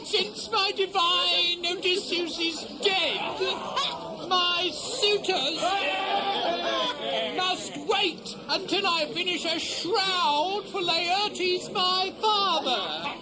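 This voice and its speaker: A sly, insolent suitor, very high in pitch and extremely slow, drawing out his scorn. It is radio drama, with a loud crowd all around. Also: full character voice. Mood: sneering, indignant. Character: mocking, arrogant male suitor, sly and insolent. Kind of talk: 1970s radio drama acting. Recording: noisy, roaring crowd, cassette-era radio play.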